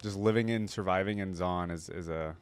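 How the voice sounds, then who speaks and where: A man's voice: low-pitched, fairly quick. A man hosting a reaction video and commenting in a casual way.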